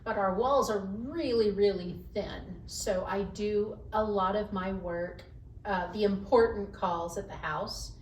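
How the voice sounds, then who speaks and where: A woman whose voice carries, telling animated, self-mocking stories about her working life. She sits at some distance from the mic, so the sound is roomy. A faint hiss stays under her voice. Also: mid-range voice, measured pace. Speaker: podcast guest, HR professional. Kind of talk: casual interview chat.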